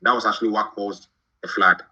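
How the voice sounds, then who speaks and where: Young guy from ghana, stops n restarts a lot, bright forceful voice, kinda dull lo-fi sound. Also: on the low side, steady tone. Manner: halting, repeats words while thinking. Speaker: guest, shoe brand founder. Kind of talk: podcast interview.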